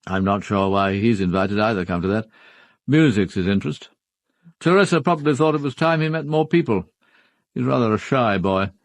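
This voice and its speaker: An actor playing a reserved titled British husband, measured and firm, in a radio drama. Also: male voice, lowish voice, animated, no room sound. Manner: measured, matter-of-fact, firm. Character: reserved upper-class husband, a titled gentleman. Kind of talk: full-cast radio drama acting.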